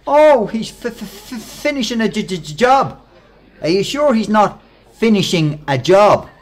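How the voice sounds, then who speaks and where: A brash Dublin workplace bully in a radio play. His voice sits a little high and swings widely in pitch. He is slangy, cocky and sneering, always needling. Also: big pitch swings, measured pace, exaggerated voice. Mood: mocking. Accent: Irish, Dublin.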